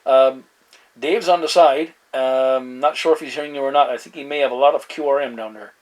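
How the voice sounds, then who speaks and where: A man in casual two-way radio chat as a hobbyist, speaking in halting phrases with radio slang. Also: mid-range voice, steady tone, a little hiss or hum, rough recording.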